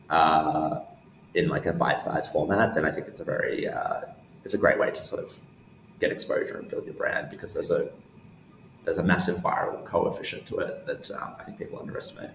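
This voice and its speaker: Casual young male guest, deep voice, halting delivery, echoey room. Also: a bit bright. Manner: casual, halting, thinking aloud. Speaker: interview guest, newsletter founder. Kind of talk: casual interview answers. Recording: roomy echo, faint background noise.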